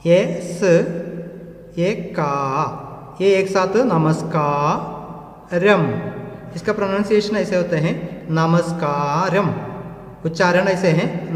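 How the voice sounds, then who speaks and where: A man's voice: sits high, very echoey, big-room sound. A man with a Malayali accent, a Malayalam speaker, teaching a language lesson patiently and often checking in with his students. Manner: patient, repeats with tag questions.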